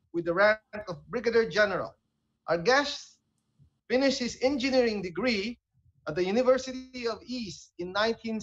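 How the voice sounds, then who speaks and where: Male host, Filipino accent, very high voice, formal introductions, dull video-call sound. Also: fairly bright tone. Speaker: talk show host. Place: indoor room, on a video call.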